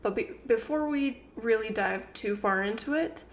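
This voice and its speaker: A woman's voice: a little high, moderate pace, a little hiss or hum. A woman co-hosting a casual podcast with her partner, a little congested and nasal, rambling with fillers and restarts into a desk microphone. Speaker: co-host of a couple's channel. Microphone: new desk microphones.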